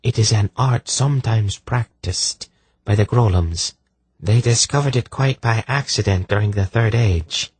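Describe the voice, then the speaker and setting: A man's voice: on the low side, a little slow, slightly rough, no room sound, pitched above the performer's own voice. A man voicing a cowled woman healer in a fantasy audiobook, grave, formal and respectful as she explains.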